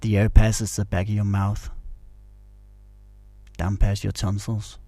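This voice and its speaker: Low, mellow male meditation guide. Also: slow pace, no room sound. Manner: calm, soothing, instructional.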